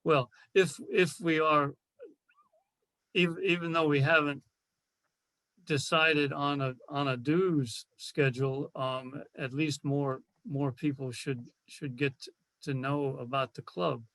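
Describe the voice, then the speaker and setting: A man talks slowly and tentatively at an informal online meeting. His voice sits a little high, and he often doubles back on words, with very little room sound around him. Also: steady tone, a bit bright, no room sound. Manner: halting, repeats words, tentative. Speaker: club member in a meeting. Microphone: video call.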